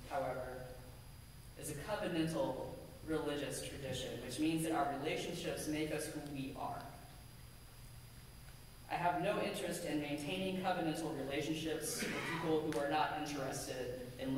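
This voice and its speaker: A woman minister giving a measured, earnest sermon from a pulpit in a church sanctuary, with a pulpit microphone and a distant camera. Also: low voice, slight background noise. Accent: American. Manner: measured, deliberate, earnest preaching with emphatic lists. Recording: echoey sanctuary from far off.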